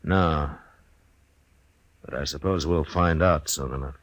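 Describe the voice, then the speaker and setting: An actor playing a steady, dry-humored frontier marshal in a 1950s radio western, speaking in a laconic, unhurried way. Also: male voice, rumbling low. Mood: wary, calm. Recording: noisy vintage 1950s radio recording.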